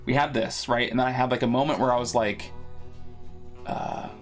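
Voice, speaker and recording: A man's voice: mid-range voice, normal speed. A man hosting a live drawing stream, chatting casually and informally as he rambles and thinks aloud. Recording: music playing behind the voice. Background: background music.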